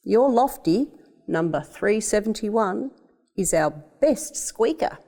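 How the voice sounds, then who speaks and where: A woman's voice: medium pitch, lively intonation, takes it slowly, warm tone. An Australian woman voicing a firm, practical wartime father in a children's storybook, speaking matter-of-factly.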